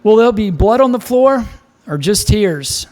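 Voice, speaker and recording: A man's voice: high voice, expressive, measured pace, darkish tone, voice pushed up. A man voicing a breezy, bantering bartender, an old pal full of wisecracks, in an audiobook. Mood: teasing. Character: ruddy Irish-American male bartender, bantering old pal.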